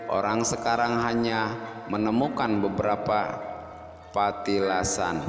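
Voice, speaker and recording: A man narrating a scripted folk tale as a storyteller, in a measured way with dramatic pauses and drawn-out key words. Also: lowish voice. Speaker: storyteller and host. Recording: echoey long reverb, music behind. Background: music.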